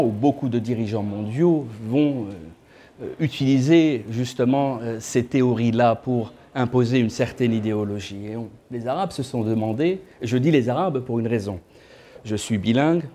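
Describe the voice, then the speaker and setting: A man's voice: mid-range voice, dark tone. A man in his thirties, seated in an indoor room and filmed from a distance, giving a talk as a guest speaker. He speaks conversationally and tosses joking asides to the audience. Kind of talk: lecture to a small audience.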